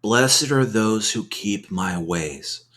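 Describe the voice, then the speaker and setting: A man reading scripture in an ASMR style, with measured, deliberate phrasing and pauses. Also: fairly low pitch, expressive, fairly bright tone. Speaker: scripture reader. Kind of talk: soft-paced ASMR Bible reading.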